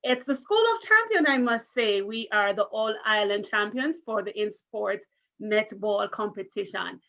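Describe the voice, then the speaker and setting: A woman's voice: mid-range voice, lively intonation, measured pace, pushed and bright. A woman, a primary school teacher reading to children in an encouraging, careful, interactive way over a video call. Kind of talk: interactive children's read-aloud. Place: online virtual story time call. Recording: muffled video call audio.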